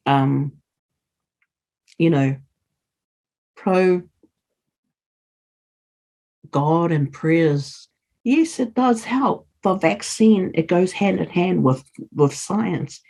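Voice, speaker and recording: Woman, English as second language, podcast guest, unhurried pace, earnest, encouraging, conversational. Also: on the low side, lively intonation, darkish tone, low-quality recording. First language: non-English first language. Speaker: podcast guest, community leader. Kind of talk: casual interview talk.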